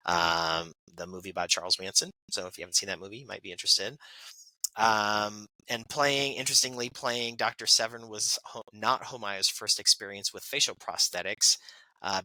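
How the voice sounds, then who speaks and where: Podcast co-host, male, bright and chatty, reads from notes with asides, dry close sound. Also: on the low side, no echo, low-quality recording. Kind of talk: casual birthday segment reading.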